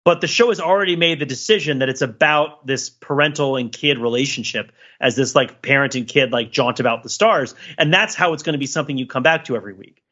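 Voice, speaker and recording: A man's voice: medium pitch, fast, pushed and bright, highs cut off. A man co-hosting a podcast in a casual discussion, talking emphatically and repeating himself for effect. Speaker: podcast host. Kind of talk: casual pop culture discussion.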